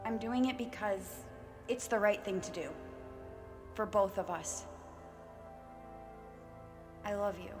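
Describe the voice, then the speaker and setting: An actress playing a troubled, wary sister in a stage drama, speaking in a halting, emotionally charged way. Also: female voice, medium pitch, over music, natural voice. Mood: tender, subdued. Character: troubled adult sister of a killer, wary and defensive.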